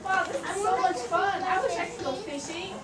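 A woman's voice: very high voice, normal speed, crowd noise. A woman playing a cheerful, eager little girl bear in a children's puppet show. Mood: excited. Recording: echoey, noisy room from far off.

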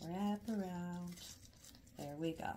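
A woman with a froggy, hoarse voice chats through a craft tutorial while working at a coffee table in her living room. She is self-deprecating and laughs at her own mistakes. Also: fairly low pitch, takes it slowly, dark tone, slight background noise. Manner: chatty, self-deprecating, giggly. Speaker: craft vlogger. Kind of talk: casual DIY tutorial narration.

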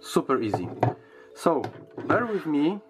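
A man giving a casual unboxing and product review in a halting, hesitant way, often repeating words. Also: fairly high pitch, big pitch swings, music in the background.

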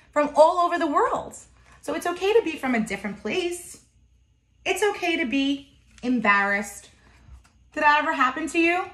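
A woman's voice: high voice, pushed and bright. A woman in her thirties reading a picture book aloud to young children, expressively, with simple explanatory asides. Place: home kitchen, indoors. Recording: roomy, echoey home kitchen.

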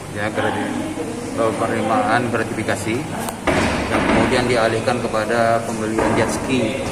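A middle-aged man, a prosecutor, halting and restarting as he patiently explains to reporters in a courthouse. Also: on the low side, far from the mic. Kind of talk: press doorstep interview. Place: indoor courtroom hallway. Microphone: reporters' phones held close. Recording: echoey room, loud background noise.

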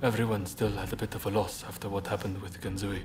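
An actor playing a composed, diplomatic young samurai leader in a video game cutscene, speaking in a measured, polite, thoughtful way. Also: male voice, lowish voice, flat delivery, close to an everyday voice. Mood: wistful, friendly.

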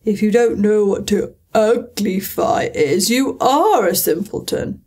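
A woman's voice: medium pitch, takes it slowly, warm tone. A woman doing a brusque, scolding Gryphon. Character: gruff, impatient male Gryphon creature.

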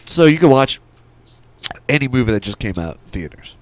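A man's voice: medium pitch, very animated, brisk. A middle-aged man chatting casually and bluntly on a podcast, swearing freely, close to the microphone. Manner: foul-mouthed, blunt. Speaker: podcast guest who works as an audio engineer. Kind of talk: casual chat. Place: small podcast studio. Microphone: close podcast microphone with headset.

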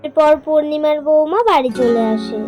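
A woman's voice: sits high, normal speed. A woman narrator reading a scripted folk tale. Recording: music behind the voice.

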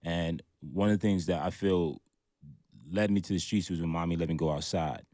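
A man's voice: deep voice, normal speed, a little mellow, no room sound. A man in his thirties, a guest in a radio studio discussion, speaking earnestly about his past and often repeating words. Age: 30s. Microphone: studio mic.

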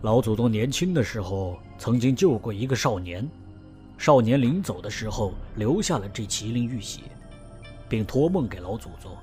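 A man playing a knowing family elder in an ancient-era audio drama, explaining an old family legend in a measured way. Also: on the low side, a little mellow, lightly acted. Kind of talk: fan audio drama acting.

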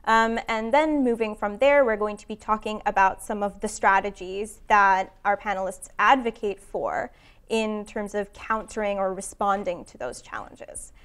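Woman, panel moderator, medium pitch, semi-formal introduction, unscripted stage talk. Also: moderate pace. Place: seated on stage in a conference room.

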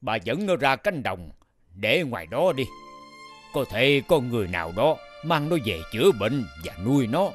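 An actor voicing a soft-hearted farmer in an animated fairy tale. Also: male voice, medium pitch, normal speed, music in the background, natural voice. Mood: regretful.

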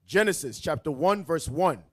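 Male scripture reader, slightly high voice, projecting each verse loudly with drawn-out, declaimed phrasing. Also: lively intonation. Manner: loud, declamatory, drawn-out delivery. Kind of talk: reading scripture aloud.

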